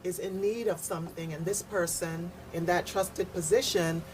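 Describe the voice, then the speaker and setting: A woman speaking on a serious radio panel, deliberate, firm and emphatic in how she explains things. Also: fairly low pitch, steady tone, darkish tone, slight background noise, rough recording.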